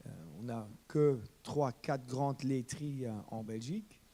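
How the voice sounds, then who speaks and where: A man's voice: medium pitch, steady tone, a little slow, mellow. A German-speaking man on a debate panel, speaking French with passion, repeating himself and rambling as he argues. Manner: impassioned, repeats words, rambling. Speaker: farmer panelist.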